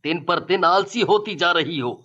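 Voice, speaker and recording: Guy playing fed up young husband and dad in a cartoon story, earnest, scolding, high voice. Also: moderate pace, sharp tone, dull audio. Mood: reproachful. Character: exasperated young husband and devoted father.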